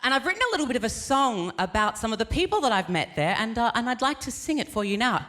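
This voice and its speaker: A man's voice: very high-pitched, big pitch swings, fast talker, pushed and bright. A drag queen comedian with an Australian accent doing stand-up on a theater stage into a handheld mic. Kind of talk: stand-up comedy with a sung number. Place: theater stage with live audience.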